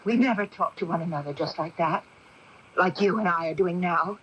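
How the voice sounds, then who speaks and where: A woman's voice: lowish voice, normal speed, fairly bright tone, husky, highs cut off. An actress playing a bitter, accusing young woman with a split self in a 1980s radio drama, with intense, theatrical delivery. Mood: resigned, bitter. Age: young adult. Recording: noisy 1980s AM radio broadcast.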